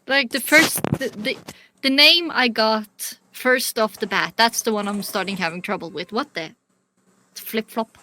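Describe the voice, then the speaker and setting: A woman's voice: on the high side, unhurried, pushed and bright, slight background noise, rough recording. A woman with a Norwegian accent reading a script, repeating tricky words and correcting herself. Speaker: voice actor recording narration. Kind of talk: scripted reading with casual asides.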